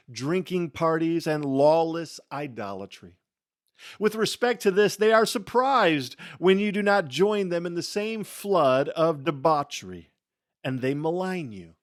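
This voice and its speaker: A man giving a dramatic reading of scripture in a solemn, theatrical manner, with emphatic phrasing and deliberate pauses. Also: on the high side. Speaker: Bible reader.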